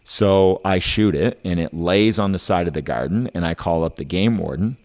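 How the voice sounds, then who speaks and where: Male podcast host, very low register, conversational, argues by posing questions. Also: normal speed, darkish tone. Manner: rhetorical questions, tag "right?". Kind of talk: casual discussion.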